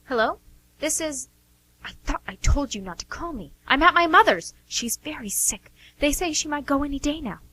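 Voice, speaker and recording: Actress as touchy secretive sister, bright sharp voice, animated, curt, amateur radio drama. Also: female voice, sits high, normal speed, low-quality recording. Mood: tense, secretive. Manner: curt, emphatic.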